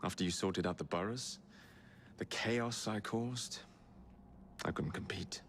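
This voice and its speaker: A man's voice: on the low side, measured pace, fairly bright tone, slight background noise. An actor voicing a brash, playful young man assassin in a game cutscene, cheeky and laid-back. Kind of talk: video game cutscene acting.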